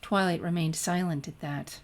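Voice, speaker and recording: A woman reading fan fiction aloud in expressive, scripted story narration. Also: lowish voice, normal speed.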